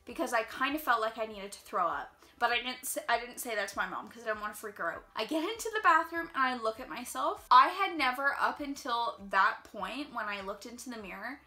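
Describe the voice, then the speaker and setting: A young woman telling a personal story in a casual vlog, chatty and quick with lots of filler words, sounding a little congested. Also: on the high side, expressive, sharp tone. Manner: chatty, fast-flowing, heavy use of "like". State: slightly congested. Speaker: vlogger. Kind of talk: casual storytime while doing makeup.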